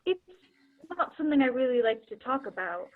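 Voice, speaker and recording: Tinny, high-voiced nervous teenage girl acted by a young woman. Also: animated, a little fast. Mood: evasive. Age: young adult. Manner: hesitant, defensive. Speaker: student actor in a school play. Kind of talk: one-act play acting. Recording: tinny phone-like line.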